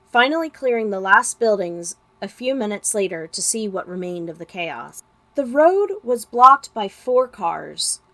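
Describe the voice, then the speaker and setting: A woman reading a story as narrator, with expressive, dramatic delivery. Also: fairly high pitch. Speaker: podfic narrator.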